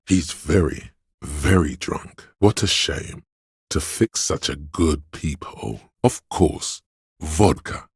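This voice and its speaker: Super deep voice of addiction for a game, sly pushy tempter, bit husky, dry close sound. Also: male voice, dry recording, heavily acted. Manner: indulgent, coaxing, savours words, swears. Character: male inner voice of addiction, hedonistic, goading, seductive tempter. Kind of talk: scripted video game voice acting.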